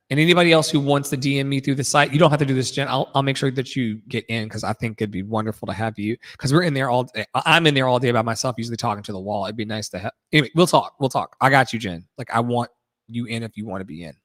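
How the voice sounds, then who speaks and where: A man's voice: medium pitch, fast, fairly bright tone, dry recording, clean studio sound. A man who sings and hosts a live audio show, chatting casually in an effusive, rambling, slangy and profane way. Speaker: singer hosting a live audio room show. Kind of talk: casual, rambling livestream chat.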